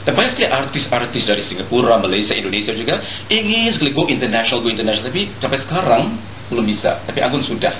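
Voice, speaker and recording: A man's voice: medium pitch, sing-song, rapid-fire, bright, forceful tone. Male celebrity interviewer, chatty and casual, recorded with strong room echo and background noise. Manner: chatty, frequent murmured acknowledgements. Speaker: interviewer, host. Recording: echoey room, noisy ambience.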